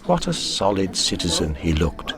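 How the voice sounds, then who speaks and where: An actor with an English accent narrating a literary radio drama as a grave, measured Edwardian storyteller with a husky voice. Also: male voice, low voice, very animated, crowd noise. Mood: grave, reflective.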